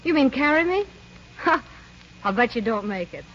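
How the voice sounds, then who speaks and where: A woman's voice: fairly high pitch, big pitch swings, normal speed, fairly bright tone. Spirited, swoopy-voiced young lady. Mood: friendly, teasing. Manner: breezy, teasing. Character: spirited, headstrong, playful young Irish-born woman. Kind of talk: old-time radio drama acting. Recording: muffled, faintly hissy old radio recording.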